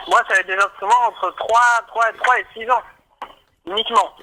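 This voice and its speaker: High, very bright man on telephone. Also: fairly even intonation, a little fast. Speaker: toy shop sales assistant. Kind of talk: customer service phone conversation. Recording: voice heard over a telephone line.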